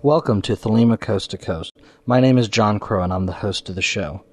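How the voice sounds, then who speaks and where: A man's voice: fairly low pitch, fairly quick, no room sound. A man hosting a podcast, giving spoken introductions and announcements. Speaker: podcast host.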